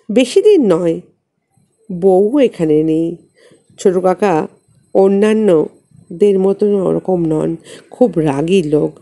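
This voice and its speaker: A woman reads a literary story as an audiobook narrator, in a measured, expressive way with deliberate pauses. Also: mid-range voice.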